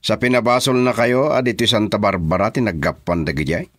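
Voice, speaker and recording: A man with an Ilocano accent playing a shrewd businessman boss in a radio drama, with a drawn-out, swooping delivery. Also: lowish voice, a bit bright, no room sound. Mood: probing.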